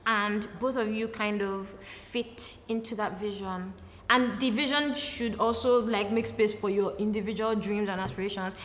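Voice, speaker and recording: An earnest Nigerian woman answers interview questions in a thoughtful, reflective way. Her mid-pitched voice rings in a reverberant room, and a faint hiss sits underneath. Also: steady tone, normal speed, a bit bright.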